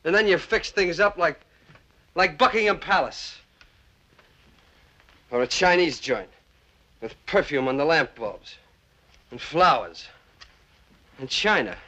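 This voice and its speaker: A cruel, bitter drunk husband. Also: male voice, a little high, expressive, slow pace, long pauses, pushed and bright, exaggerated voice. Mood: ranting. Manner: sneering, accusing, rising to rage.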